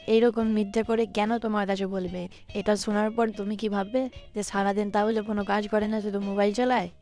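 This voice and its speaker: An aggrieved village woman in a family cartoon acted by a woman, full of complaint. Her pitch is average, and faint music runs underneath. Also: flat delivery, a little fast, a little mellow, lightly acted. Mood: hurt, aggrieved. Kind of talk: scripted cartoon dialogue.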